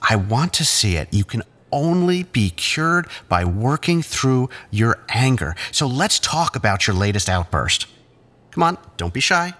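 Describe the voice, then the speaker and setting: A male actor playing a condescending, controlling psychiatrist who talks in patronizing, measured therapy-speak in an audio drama. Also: medium pitch, sing-song, a bit bright. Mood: probing, condescending.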